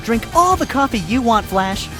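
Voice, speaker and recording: A man's voice: very high-pitched, sing-song, normal speed, loud music behind the voice, pitched above the performer's own voice. A man voicing a cocky, sly male fox defense attorney with theatrical courtroom flourishes in a fan story reading. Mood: smug.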